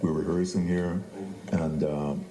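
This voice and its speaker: A man's voice: extremely low pitch, flat delivery, measured pace, warm tone. An older man, a playwright, telling stories in an interview conversation. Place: indoors at a theater. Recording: echoey room, faint background noise.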